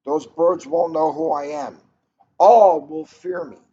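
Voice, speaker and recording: A man's voice: a little high, sing-song, slow pace, creaky, mellow, heavily acted. A man voicing an impulsive, anguished farmer father from a storybook, declaiming dramatically as he hunts for his lost son. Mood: defiant. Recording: noisy, dull lo-fi recording.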